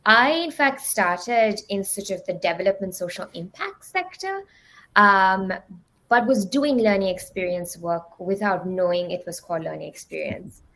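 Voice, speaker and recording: A young woman from Sri Lanka, a guest on a video call, talking softly and hedging often with fillers. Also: medium pitch, animated, normal speed. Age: young adult. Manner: soft-spoken, many ums and hedging "sort of". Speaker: guest, learning anthropologist. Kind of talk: casual video-call chat. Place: home room. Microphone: video call, laptop mic.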